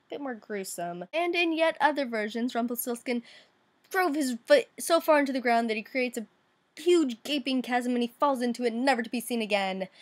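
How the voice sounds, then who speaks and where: High-voiced woman vlogger telling stories casually. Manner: wry asides, informal slang. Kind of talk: casual, chatty storytelling.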